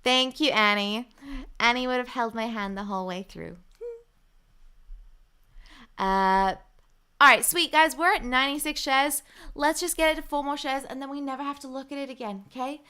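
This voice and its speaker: A woman's voice: sits high, normal speed, pauses often, bright, forceful tone, clear, resonant tone. A young adult woman, hosting a casual livestream, chatting and reading out viewer comments with lots of ums. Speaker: livestream host.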